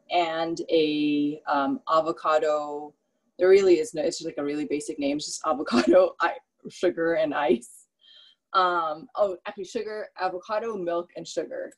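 Low-voiced woman teaching a home cooking class. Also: rough recording. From Philippines. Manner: relaxed, rambling with many fillers. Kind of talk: casual online cooking class. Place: home kitchen. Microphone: laptop webcam on a video call.

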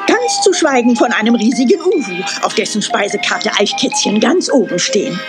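A woman narrating a children's story with lively, expressive storytelling. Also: fairly high pitch, moderate pace, loud music behind the voice.

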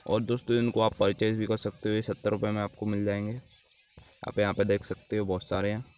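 A man's voice: on the low side, flat delivery, brisk, darkish tone, smooth. A man presenting an app tutorial as a voice-over for a gaming channel. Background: background music.

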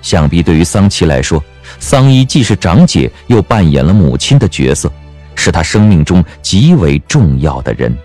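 A man narrating a scripted audio drama as an expressive storyteller. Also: low voice, normal speed, faint music behind.

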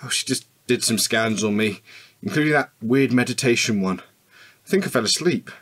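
A man's voice: mid-range voice, normal speed, fairly bright tone, husky. A man playing a devoted, protective husband in a radio drama, speaking naturally with earnest tenderness. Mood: reassuring.

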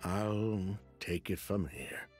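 A man playing a defensive, evasive middle-aged perfume merchant in a video game, with an emphatic, halting delivery. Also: low-pitched, darkish tone, faint music behind. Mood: grudging, defensive. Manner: halting, stammering when flustered, emphatic. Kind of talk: dramatic video game voice acting.

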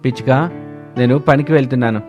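A man's voice: medium pitch, fairly even intonation, fast, a little mellow, close to an everyday voice. A man voicing a suspicious, stubborn crow husband in a cartoon moral story, with a grudging manner. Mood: coaxing, affectionate. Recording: music bed behind voice.

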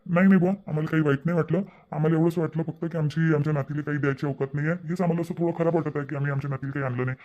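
A man voicing a humble, gentle village grandfather in a cartoon family drama, with a Varhadi Marathi accent. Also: on the high side, steady tone, racing, few pauses, highs cut off, lightly acted. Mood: regretful. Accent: Varhadi (Vidarbha) Marathi.

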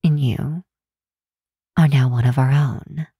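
A woman voicing a kind, protective demon queen in an ASMR comfort roleplay, speaking gently and reassuringly in a measured way, close to the microphone. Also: rumbling low, fairly even intonation, husky, no echo, full character voice. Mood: calm, earnest. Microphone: close, dry microphone.

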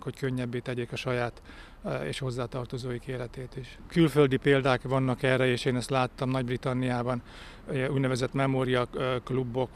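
A middle-aged man giving an interview in a hesitant, matter-of-fact way into a handheld microphone outdoors. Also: medium pitch. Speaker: interviewee, club organiser. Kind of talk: spoken interview. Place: outdoors in a town square. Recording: outdoor urban ambience, clear background noise.

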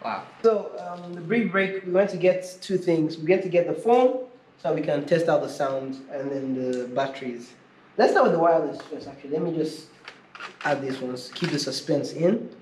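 A man's voice: fairly high pitch, normal speed, darkish tone. A man casually hosting an unscripted unboxing video, chatting informally and thinking aloud as he reviews a product. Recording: echoey room with clear background noise.

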